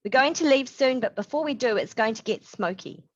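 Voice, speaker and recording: A woman's voice: on the high side, lively intonation, fairly quick, highs cut off, pitched above the performer's own voice. A woman voicing a tough, decisive big sister urgently giving orders in a zombie story. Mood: firm. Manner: urgent, commanding.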